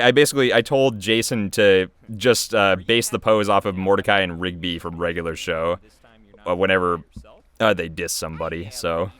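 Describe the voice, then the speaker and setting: Low-pitched man with a bright, forceful voice. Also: clean tone. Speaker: video creator and voice actor giving commentary. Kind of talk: casual unscripted commentary.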